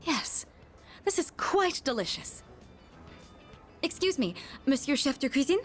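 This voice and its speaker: Polite, lively, well-bred young woman. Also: high voice, unhurried, soft background music. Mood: delighted, polite. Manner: formal, polite, earnest, sprinkling French phrases. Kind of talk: video game voice acting.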